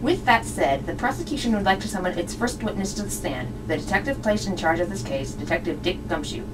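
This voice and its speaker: A woman voicing a cool, condescending male prosecutor, formal and measured, in an amateur puppet show. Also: mid-range voice, expressive, over music, lightly acted. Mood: composed. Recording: distant mic with clear background noise.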